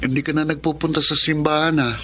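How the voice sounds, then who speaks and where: A man's voice: on the high side, level tone, quick pace, sharp tone, slight background noise. A young man playing an easygoing, teasing male classmate in a radio drama. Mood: casual. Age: young adult. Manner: casual, teasing.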